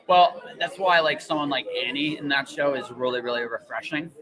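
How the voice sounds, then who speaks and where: A man's voice: medium pitch, fairly quick, crowd noise, poor audio. A man hosting a casual podcast conversation, talking emphatically and often repeating his words and phrases for stress. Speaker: podcast host. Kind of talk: casual interview chat.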